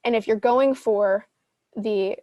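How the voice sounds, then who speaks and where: A woman's voice: medium pitch, takes it slowly, no echo, lo-fi sound. Female session leader, mid-range voice, conversational advice-giving that works things out aloud, very dry close recording. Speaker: podcast host teaching a live Q&A session. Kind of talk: casual advice, answering audience questions.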